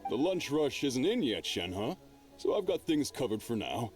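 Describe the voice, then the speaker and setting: A man's voice: mid-range voice, big pitch swings, a little slow, far from the mic, soft background music. A man playing a friendly, easygoing middle-aged restaurant chef in a video game. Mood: welcoming, easygoing. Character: genial middle-aged male restaurant chef, kindly boss. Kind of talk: video game character dialogue.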